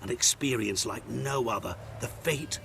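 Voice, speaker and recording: An actor playing a suave, sinister merchant in a video game, speaking in an eloquent, deliberate, theatrical way with sly courtesy. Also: male voice, mid-range voice, gravelly, background noise. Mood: seductive salesmanship. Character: suave, sinister male merchant with hidden powers. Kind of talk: video game character acting.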